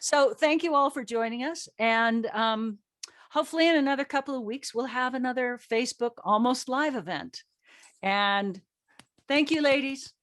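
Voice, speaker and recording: A woman's voice: sits high, normal speed, a bit bright, dry recording, clean studio sound. A woman hosting a casual chat with a cast, effusive and gushing as she talks. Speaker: host and writer of an audio drama.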